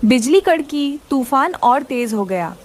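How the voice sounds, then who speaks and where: A woman's voice: high-pitched, expressive, unhurried. A woman reading scripted moral-story narration for a children's cartoon.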